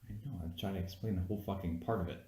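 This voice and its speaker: A man's voice: deep voice, rapid-fire, warm tone, roomy sound. A man co-hosting a casual movie review, explaining his points insistently in loose, sweary conversation. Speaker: co-host of a movie review podcast.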